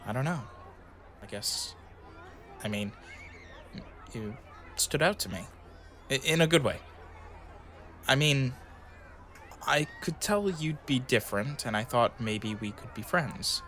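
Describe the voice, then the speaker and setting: A man's voice: medium pitch, animated, slow, pauses often, a bit bright, some chatter behind, a little hiss or hum. A man acting in an audio drama as a reflective storyteller and his shy, self-conscious younger self. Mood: shy, halting. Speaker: narrator and lead actor telling his own story. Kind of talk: audio drama narration and acted dialogue.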